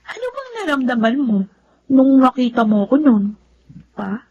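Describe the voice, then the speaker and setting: A woman's voice: high voice, sing-song, moderate pace, mellow, dull audio, a little hiss or hum. A woman acting in a Tagalog radio drama as an affectionate, grateful, girlish daughter, speaking tenderly and expressively. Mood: curious, affectionate.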